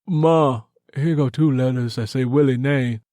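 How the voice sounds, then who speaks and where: A man's voice: mid-range voice, unhurried, warm tone, no echo, studio quality, lightly acted. Man voicing a plainspoken country daughter. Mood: uncertain. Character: plainspoken country daughter reporting to her mother. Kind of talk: audiobook character acting.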